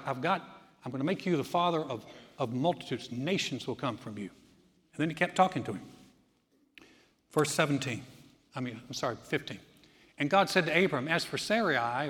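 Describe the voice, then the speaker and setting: A man preaching a sermon as pastor, moving from easy conversational teaching into loud, emphatic delivery. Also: on the high side, long reverb tail.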